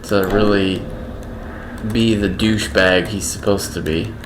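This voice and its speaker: Slow, monotone low-voiced man. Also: noisy background. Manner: slow, halting, self-described monotone. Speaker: gaming video creator. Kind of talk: casual gameplay commentary.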